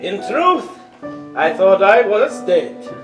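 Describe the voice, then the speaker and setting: A man's voice: high voice, sing-song, slow pace, exaggerated voice. A man playing a grave, kindly wounded king in a staged reading of a stage musical. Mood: grave, apologetic. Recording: echoey stage with music behind.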